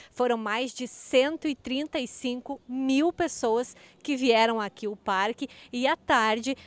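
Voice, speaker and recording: Higher-pitched woman reporter. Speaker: TV field reporter. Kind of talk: news reporting. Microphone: handheld microphone.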